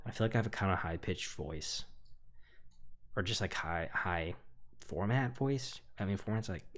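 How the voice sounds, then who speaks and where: A young man casually walking through how he produced his own song, rambling and relaxed with lots of fillers and hedges. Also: deep voice, moderate pace. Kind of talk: casual studio walkthrough. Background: faint music.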